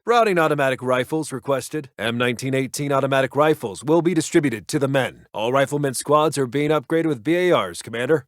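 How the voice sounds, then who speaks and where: A man playing a brisk, dutiful American army intelligence officer in a Second World War video game, giving clipped, formal reports to his commander. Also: mid-range voice, dry recording, studio quality, close to an everyday voice. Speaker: military announcer reporting to the commander. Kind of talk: scripted video game unit voice lines.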